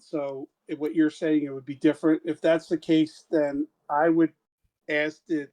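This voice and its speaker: A male player in a tabletop game, speaking with hesitation and backtracking as he works out what he wants. His voice is a little higher than most men's. The delivery is casual and conversational. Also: a little mellow, poor audio. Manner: hesitant, restating his point.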